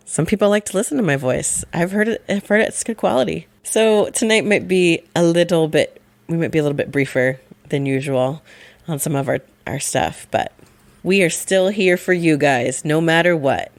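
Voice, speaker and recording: Sick woman with a gravelly voice. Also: deep voice, moderate pace, no echo. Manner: chatty, self-correcting, laughs often. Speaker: podcast co-host. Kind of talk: casual podcast chat.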